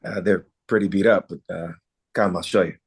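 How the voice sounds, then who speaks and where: A man's voice: sits low, steady tone, normal speed, slightly rough, heavily acted. A man playing an awkward, wry small-town farmer with a hesitant delivery in a table read. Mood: awkward, shy.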